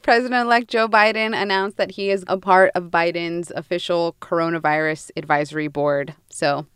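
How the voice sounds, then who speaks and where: A woman's voice: lowish voice, steady tone, moderate pace, fairly bright tone, clear, resonant tone, dry recording, clean studio sound. A woman reporter sharing good news in an upbeat, eager way on a podcast. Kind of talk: casual chat.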